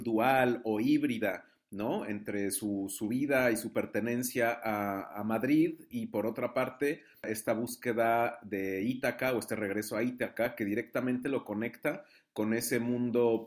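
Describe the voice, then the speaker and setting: Measured male radio interviewer. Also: medium pitch. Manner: measured, formal questions with fillers like "eh" and "mm".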